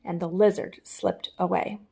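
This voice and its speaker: A woman reading a children's story aloud in a deliberate, expressive manner. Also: on the low side, vocal fry, slightly rough. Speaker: storybook reader. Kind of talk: scripted children's story narration.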